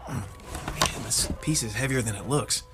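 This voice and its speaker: Actor as wry, mid-pitched male stagehand. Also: moderate pace, over music, close to an everyday voice. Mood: weary, grumbling. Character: wry, put-upon male theater stagehand. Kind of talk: naturalistic radio drama dialogue.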